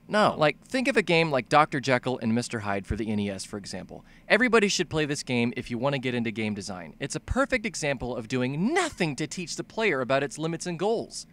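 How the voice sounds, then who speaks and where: Animated, bright-voiced man doing comedic game commentary. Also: on the high side. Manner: profane, exaggerated, bouncing between mock dumb and excited shouting. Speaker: video game commentator, fan-dub voice-over.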